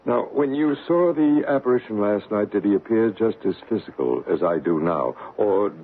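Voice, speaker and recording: Deep, calm paranormal investigator. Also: male voice. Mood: calm, probing. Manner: deliberate, soothing, questioning. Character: calm, probing male paranormal investigator recounting a haunting. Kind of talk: 1970s radio drama acting and narration. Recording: tinny, hissy vintage radio recording.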